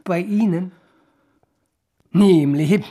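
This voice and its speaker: A man's voice: high-pitched, slow pace, dark tone. A powerful old banker for a radio play. He is condescending and blunt, with a cold, mocking, fatherly manner that dismisses whoever he addresses. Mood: mocking refusal. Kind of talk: radio drama acting.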